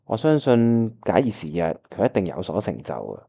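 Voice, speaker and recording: A man's voice: on the low side, huge pitch swings, moderate pace, gravelly, mellow, breathy edge, muffled recording, full character voice. A man with a Hong Kong Cantonese accent acting a disheartened, wistful time traveller who narrates his own radio drama. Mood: reflective narration. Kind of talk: first-person radio drama narration and dialogue. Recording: music playing behind the voice.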